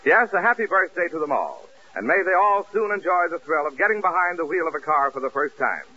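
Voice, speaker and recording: Male 1940s radio pitchman, polished, forceful and fairly high, on a dull vintage recording. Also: animated, a little fast, breathy edge. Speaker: radio announcer. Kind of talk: 1940s radio advertising announcing. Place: radio studio.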